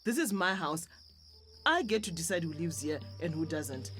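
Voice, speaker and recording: A woman's voice: low voice, big pitch swings, moderate pace, fairly bright tone, soft background music. A woman with a Zimbabwean accent playing a hard-nosed, unsympathetic landlady in a radio drama. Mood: cold, firm.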